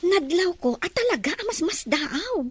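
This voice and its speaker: A woman voicing inquisitive young student friend, high pitch, dry close sound, thoughtful, audio drama. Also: sing-song, normal speed, no echo. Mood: pondering, gentle probing. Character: young female student, thoughtful, questioning confidante. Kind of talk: Filipino audio drama dialogue in Ilocano.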